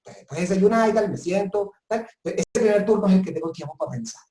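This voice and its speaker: Man, consultant guest, soft-edged relaxed timbre, emphatic advice, webinar interview, echoey room. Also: sits high, quick pace. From southern Italy. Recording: roomy, echoing sound.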